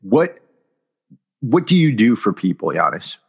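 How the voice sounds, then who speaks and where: A man's voice: lowish voice, very animated, unhurried, husky. A man hosting a podcast, talking in an upbeat, loose conversational way as he introduces a guest and opens the interview. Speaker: podcast host.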